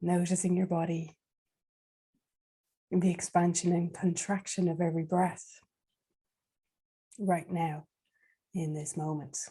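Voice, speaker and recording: A woman leading a guided meditation in a soft, breathy, soothing voice, leaving long unhurried pauses. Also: lowish voice, level tone.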